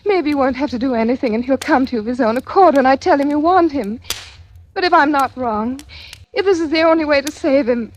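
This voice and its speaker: Lady playing brave scared young heroine, old radio play, really high voice, earnest, hissy recording. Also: brisk, lightly acted. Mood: anxious resolve. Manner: earnest, dramatic. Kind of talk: 1940s radio drama acting. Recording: noisy vintage radio recording with clicks.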